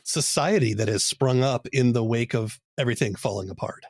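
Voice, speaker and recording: A man's voice: medium pitch, brisk, dry recording, clean studio sound. A man hosting an interview podcast, laying out his guest's work in a conversational way.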